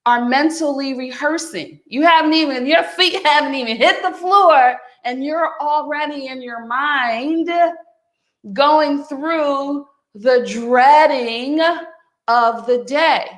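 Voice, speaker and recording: A woman in her fifties giving a motivational wellness talk, speaking warmly and directly to the listener as "my friend" and "honey.". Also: high voice, takes it slowly. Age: 50s. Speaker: wellness coach. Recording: echoey room.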